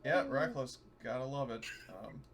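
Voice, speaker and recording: A man's voice: medium pitch, normal speed, a bit bright, a little hiss or hum. A man chatting casually as a tabletop game player, irreverent and prone to swearing. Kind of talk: casual chat.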